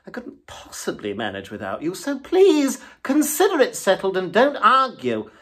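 A storybook reader voicing an excitable, boastful male toad with a husky voice, gushing and loud. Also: medium pitch, normal speed, voice pushed up. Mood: pleading. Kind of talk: storybook reading.

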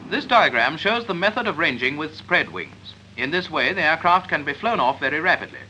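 British man, clipped instructional delivery, fairly high male pitch, 1940s film narration, noisy old soundtrack. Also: animated, fairly quick, bright, forceful tone.

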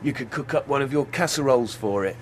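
An actor playing a wisecracking dog handler at a polar station in a radio drama, joking and sardonic. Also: male voice, medium pitch, fast talker, slight background noise, natural voice. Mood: teasing. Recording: busy crowd and ambience behind.

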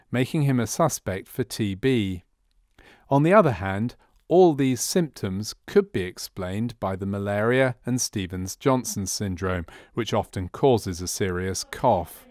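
A man narrates a medical training film in a fairly low voice. His delivery is scripted documentary narration, explaining things as a narrator would. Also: a little mellow, dry recording, studio quality.

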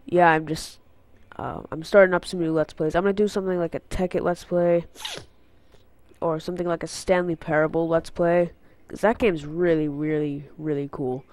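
A man's voice: a little high, flat delivery, normal speed, a little mellow, no room sound. A teenage boy with a congested, stuffy nose from a cold, rambling casually through a channel update on an unfamiliar headset mic. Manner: rambling, filler-heavy, goes on tangents. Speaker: gaming channel host.

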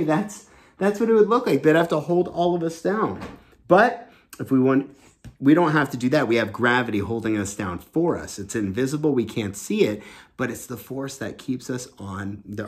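Animated man reading to kids. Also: fairly high pitch. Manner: enthusiastic, explaining simply for children. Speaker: teacher reading a children's book aloud. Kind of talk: animated read-aloud storytelling with explanations. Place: small home room indoors.

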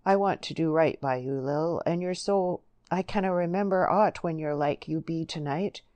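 A woman's voice: deep voice, normal speed, a little mellow, no room sound, close to an everyday voice. Woman voicing an earnest rustic English young countryman. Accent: rural English dialect. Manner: blunt, earnest dialect speech.

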